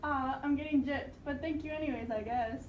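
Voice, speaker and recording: Cheeky freeloading puppet, played by a woman; high register, slangy, recorded at a distance. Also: a little fast, lo-fi sound, natural voice. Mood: cheerful, wheedling. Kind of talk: amateur school puppet show. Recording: echoey room, distant mic, background noise.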